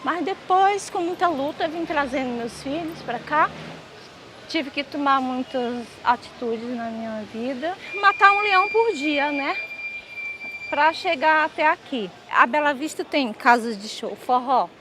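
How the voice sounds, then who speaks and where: A woman's voice: high voice, measured pace, background noise. A woman from Northeast Brazil gives a reflective, earnest testimony for a documentary. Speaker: interviewed resident and mother. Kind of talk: documentary interview.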